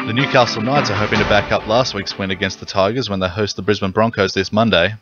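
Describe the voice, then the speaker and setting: Fast, low-voiced sports newsreader, male. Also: steady tone, dull audio, music in the background. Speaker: radio sports reader. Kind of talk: scripted sports bulletin. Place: dry radio studio.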